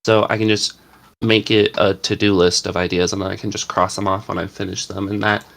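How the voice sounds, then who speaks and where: A man's voice: on the low side, little pitch movement, brisk, gravelly, a bit bright. A man script writer talking casually and a little hesitantly about his idea lists. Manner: hesitant, with stumbles and fillers. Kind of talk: casual chat.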